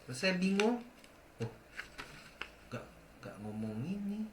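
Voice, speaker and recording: A man's voice: high-pitched, sing-song, slow pace, noisy background. A young man telling a ghost encounter in casual speech, hesitant and often correcting himself. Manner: hesitant, many self-corrections. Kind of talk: casual storytelling. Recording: roomy echo.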